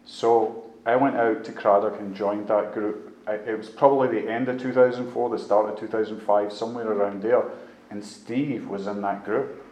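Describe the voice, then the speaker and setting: A man's voice: lowish voice, fairly even intonation, normal speed, a little mellow, muffled recording. A man in his fifties with a Glasgow-area Scottish accent, giving a plain-spoken, conversational personal testimony to a group. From Scotland, just outside Glasgow. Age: 50s. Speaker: speaker sharing at a recovery meeting. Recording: echoey room with faint background noise.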